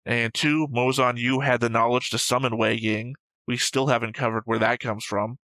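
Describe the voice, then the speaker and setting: Casting: male co-host, forceful bright tone, argumentative and emphatic, casual swearing, close dry sound. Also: medium pitch, fairly even intonation, moderate pace, no room sound. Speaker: co-host of an anime reaction show. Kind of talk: casual opinionated discussion.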